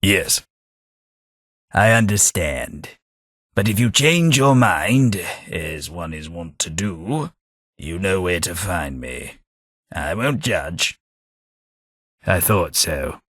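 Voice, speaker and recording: An actor playing a polite, reserved former office clerk in a game, speaking with formal, measured, slightly wistful courtesy. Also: male voice, low-pitched, very animated, fairly bright tone, husky, no echo, studio quality, full character voice. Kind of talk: game character dialogue.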